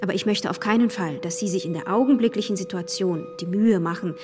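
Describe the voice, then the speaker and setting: An actress in a German radio play voicing a reserved, proud young gentlewoman, speaking with courteous, restrained firmness. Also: mid-range voice, fast, big-room sound, over music, close to an everyday voice. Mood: guarded, resolute.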